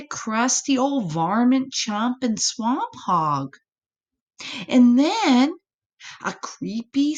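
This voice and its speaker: A woman's voice: on the high side, dull audio, voice pushed up, full character voice. A woman voicing a plucky, boastful little boy possum for a children's storybook, in eager, breathless exclamations.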